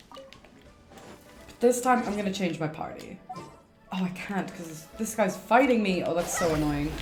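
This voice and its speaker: A woman gives casual playthrough commentary as a game streamer. She is chatty and rambling, thinking aloud in a medium-pitched voice, in an echoey room with faint game music underneath. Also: a little mellow.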